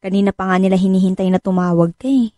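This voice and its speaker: A woman's voice: mid-range voice, fast talker, warm tone, no room sound, studio quality, close to an everyday voice. An actress playing a caring, inquisitive wife and mother in her thirties in naturalistic radio drama dialogue. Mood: reassuring. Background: music bed.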